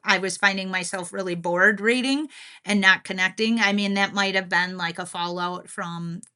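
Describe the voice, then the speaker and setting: A woman's voice: mid-range voice, steady tone, moderate pace, pushed and bright, clean tone. A woman co-hosting a podcast in a casual, reflective chat, agreeable and a little self-deprecating. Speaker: podcast co-host.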